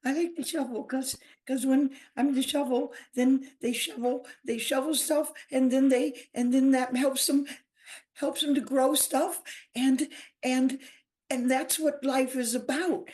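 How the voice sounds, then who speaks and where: A woman's voice: high-pitched, fairly even intonation, darkish tone. A woman playing a giggly, dreamy toy garden tool. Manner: giggly, halting, wide-eyed. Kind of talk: improv comedy scene.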